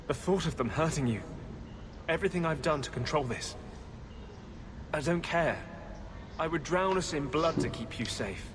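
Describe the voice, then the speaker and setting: A man's voice: medium pitch, natural voice. Guy playing intense brooding rebel mage healer, earnest and grave, game ambience noise all behind him. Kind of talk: video game voice acting.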